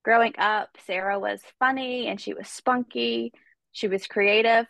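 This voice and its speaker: A woman's voice: fairly high pitch, steady tone, measured pace, gravelly, fairly bright tone, no echo. A woman hosting a podcast, telling a true-crime story in a chatty, casual way while stuffed up with a cold. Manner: chatty, conversational, many fillers. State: congested with a cold. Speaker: podcast host.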